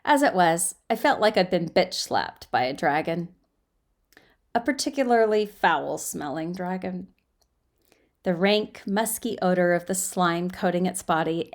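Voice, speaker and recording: Lower-voiced woman reading fiction dramatically. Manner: expressive, emphatic, with occasional stumbles over words. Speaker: amateur storyteller reading aloud. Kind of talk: dramatic audiobook-style fiction narration.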